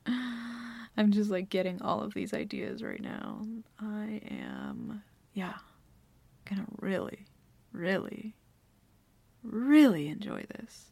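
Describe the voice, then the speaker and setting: Woman playing a slow, teasing, bossy roommate girlfriend. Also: medium pitch, wildly swooping pitch, gravelly, breathy edge, full character voice. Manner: soft-spoken, often dropping to a whisper, playful. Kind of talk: ASMR roleplay, intimate.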